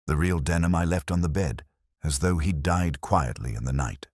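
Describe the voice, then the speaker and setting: A man voicing a cornered impostor in a detective audiobook, confessing defensively in terse, halting phrases. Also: very deep voice, darkish tone, no room sound, studio quality. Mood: defensive confession.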